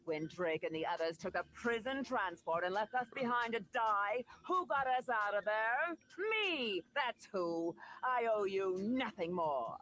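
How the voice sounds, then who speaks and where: A woman playing a bitter, haughty, sharp-tongued character in a motion comic, scornful and imperious. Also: mid-range voice, expressive, unhurried, few pauses, poor audio. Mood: indignant. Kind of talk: dramatic motion comic dialogue.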